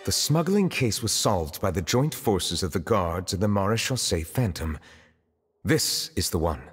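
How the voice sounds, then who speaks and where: Stately reserved game official, low husky voice, big reverb, music under it. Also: male voice, very animated, heavily acted. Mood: formal. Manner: measured, formal, stately. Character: formal, reserved, dignified male official of high rank. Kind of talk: video game voice acting.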